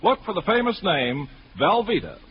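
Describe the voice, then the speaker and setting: A man's voice: high voice, very animated, normal speed, dull audio. An American man reading scripted 1940s radio announcements as a polished salesman. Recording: noisy, band-limited 1940s radio broadcast.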